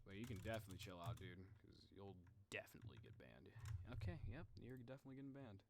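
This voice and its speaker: A young man chatting casually to viewers on a livestream in an informal, animated way. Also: fairly low pitch, fast, noisy background. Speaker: live streamer.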